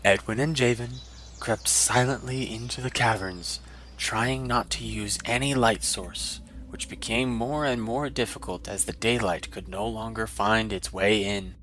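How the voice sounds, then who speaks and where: Bright-voiced male fantasy narrator over ambience. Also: lowish voice, steady tone, unhurried, a little hiss or hum, poor audio. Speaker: audiobook narrator. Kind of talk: dramatic scripted fantasy narration.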